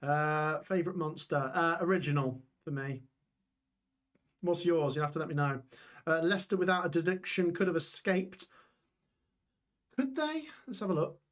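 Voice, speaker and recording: A man's voice: on the high side, lively intonation, normal speed, long pauses, a bit bright. Chatty man calling live scores. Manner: chatty, reads scores aloud, reacts to chat. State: voice going hoarse. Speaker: live stream host. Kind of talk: live football watch-along commentary.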